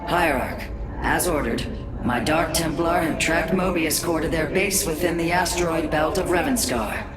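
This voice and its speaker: A man's voice: on the high side, normal speed, reverberant, big-room sound, far from the mic, over a noisy crowd, close to an everyday voice. A man playing a dutiful alien stealth warrior in a video game, reporting formally. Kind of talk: video game cutscene acting.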